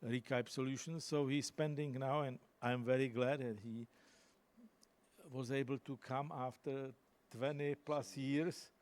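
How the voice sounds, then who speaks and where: Man haltingly introducing a speaker. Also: mid-range voice, darkish tone. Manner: halting, searches for words with many uhs. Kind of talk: formal introduction. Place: large lecture hall, indoors. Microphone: handheld microphone.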